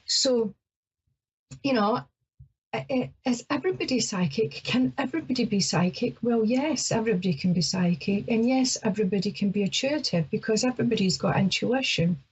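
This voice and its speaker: A woman's voice: a little high, moderate pace, breathy edge, muffled recording. A middle-aged Scottish woman from Ayrshire hosting a psychic radio show, talking chattily and nervously with lots of fillers. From Scotland. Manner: chatty and hesitant, with many fillers. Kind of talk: casual talk-radio monologue.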